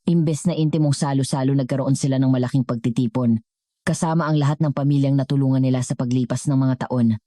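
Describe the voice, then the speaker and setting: Female story narrator, Filipino accent. Low, relaxed voice, earnest measured read, dry sound. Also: no echo.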